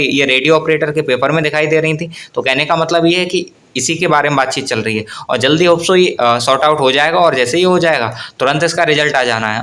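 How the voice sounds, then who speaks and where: A man's voice: a little high, pushed and bright. A man hosting an informal explainer update, rephrasing and repeating his points as he goes. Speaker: host of an exam news channel. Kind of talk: informal explainer update on government exam news.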